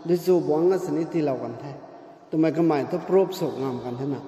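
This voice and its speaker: A man's voice: a little high, dark tone. Older man preaching in echoey hall. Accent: Northeast Indian. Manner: measured preaching mixed with English words. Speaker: pastor. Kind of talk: sermon. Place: church hall. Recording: echoey hall with long reverb.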